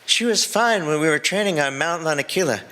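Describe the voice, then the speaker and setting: Man playing a bright, sheepish boy trainer. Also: a little high, expressive, a little fast. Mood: reassuring. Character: boy trainer Sun, sheepish, reassuring, reckless young surfer. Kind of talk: acted fan fiction reading.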